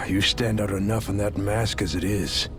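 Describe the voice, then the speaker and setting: An actor playing a middle-aged slave doctor in a video game, world-weary, wry and gently scolding. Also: male voice, on the low side, fairly even intonation, unhurried, natural voice. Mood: calm, advising. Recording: game audio with music behind.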